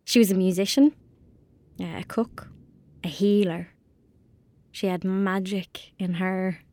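A woman's voice: medium pitch, fairly even intonation, takes it slowly, mellow, no room sound. Lonely irish country girl in a radio play, blunt and dreamy, very dry close sound. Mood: tender, wistful. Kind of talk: audio drama acting.

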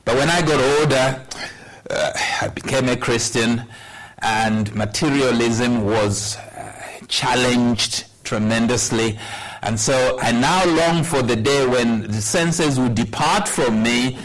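Older guy in his 60s preaching, slow and measured, dry bits of humor, middling pitch. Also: poor audio. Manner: measured, unhurried storytelling with wry humor. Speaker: pastor. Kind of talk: sermon with personal storytelling.

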